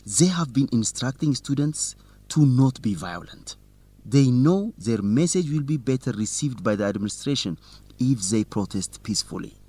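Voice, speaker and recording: A man's voice: mid-range voice, expressive, normal speed, dark tone, husky. A man playing an earnest, confident young student reporter in an educational radio drama. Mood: earnest, reassuring.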